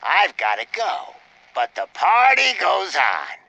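A man's voice: high voice, huge pitch swings, slow pace, creaky, bright, forceful tone, dry recording, lo-fi sound, exaggerated voice. An actor playing a cocky, sadistic male gang leader in a video game, taunting like a theatrical showman. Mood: gleeful, taunting.

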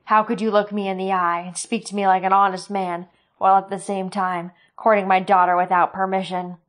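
A woman's voice: fairly low pitch, monotone, a little slow, close to an everyday voice. A woman voicing an enraged older male village butcher who snarls bitter accusations. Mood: furious.

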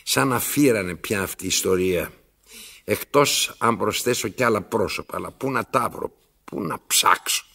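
An actor playing an imperious, pompous old tyrant in a radio play, declaiming with self-interrupting asides in a gravelly voice. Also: male voice, lowish voice, bright, forceful tone, heavily acted. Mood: brooding. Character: imperious, theatrical old male tyrant telling his story. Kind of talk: radio theatre acting.

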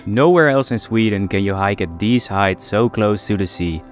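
A man giving calm scripted narration for a travel vlog. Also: on the low side, moderate pace, faint music behind.

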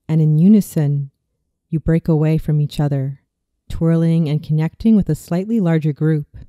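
A meditation narrator in their thirties with a deeply mellow, soft-edged tone and a medium pitch. The delivery is soothing and deliberate, reading a scripted visualization. The sound is dry and close, with hardly any room. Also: dry recording. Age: 30s.

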